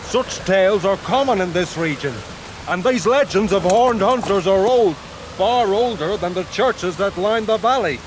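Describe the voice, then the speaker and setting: An actor playing a grim, knowing huntsman and tracker in a period audio drama, guarded, measured and ominous. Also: high-pitched, lively intonation, background noise.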